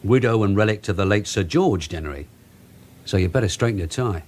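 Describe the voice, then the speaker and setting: A man playing a brisk, authoritative British police detective sergeant in a radio drama, speaking in clipped orders. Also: on the low side, animated, a little mellow. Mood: formal, businesslike. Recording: noisy background with vehicle sounds.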